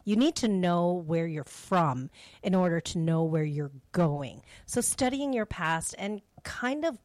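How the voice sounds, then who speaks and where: A woman's voice: lowish voice, animated, a little slow, darkish tone, no echo. A middle-aged woman from Canada hosting a faith show, giving personal testimony in a conversational, reflective and earnest way. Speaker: host of a faith radio show.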